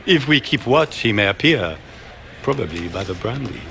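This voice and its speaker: Proud schemer for fantasy game, middle-aged, low and animated, grandiose courtly manner. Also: male voice, moderate pace, creaky, background noise. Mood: courtly, flattering. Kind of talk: video game dramatic acting.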